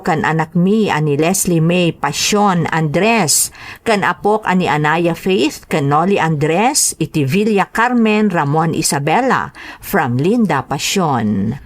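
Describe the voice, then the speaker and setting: A Filipino woman with an Ilocano accent, hosting a radio drama and reading out greetings and letters. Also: lowish voice. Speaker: radio drama host and narrator.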